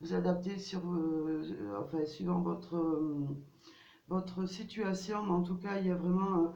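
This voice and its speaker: A woman's voice: lowish voice, fairly even intonation, measured pace, creaky, dark tone. A woman with a cold giving a casual tarot card reading, hesitant and full of fillers and restarts. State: has a cold. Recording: distant, dull, roomy home recording.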